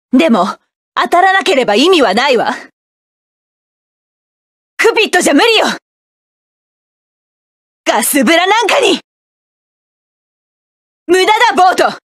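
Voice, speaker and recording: Proud hardened mech pilot woman, high voice, clipped barks, dry studio sound. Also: dry recording. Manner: sharp, self-assured, clipped combat barks. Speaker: game character. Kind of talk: game battle voice lines.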